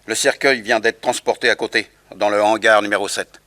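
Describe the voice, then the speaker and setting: An actor playing a polite, calm customs lieutenant, formal and matter-of-fact, in a 1960s French radio crime drama. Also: male voice, on the low side, fairly quick. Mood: calm, official.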